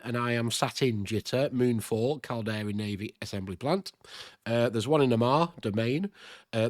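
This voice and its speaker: A man's voice: mid-range voice, no room sound, studio quality. A man with a stuffed-up, nasal voice from a cold, hosting a chatty game tutorial and thinking aloud. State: congested with a cold. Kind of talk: casual screen-recorded game tutorial.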